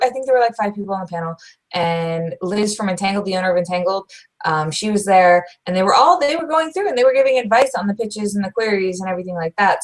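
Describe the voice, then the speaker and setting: A young woman co-hosting a podcast, talking loud and fast in casual chat, full of restarts. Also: mid-range voice, rough recording. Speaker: podcast co-host.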